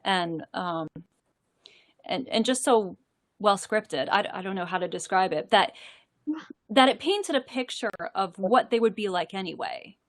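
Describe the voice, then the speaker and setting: A woman's voice: mid-range voice, unhurried. A woman who narrates audiobooks, chatting animatedly on a live stream, often stumbling into restarts and laughing. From central Florida. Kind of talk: casual live chat.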